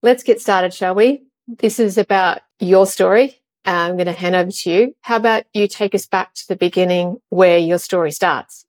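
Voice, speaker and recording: A woman hosting an interview, welcoming her guest in a casual, halting way with repeated words. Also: fairly low pitch, no echo. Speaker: podcast host.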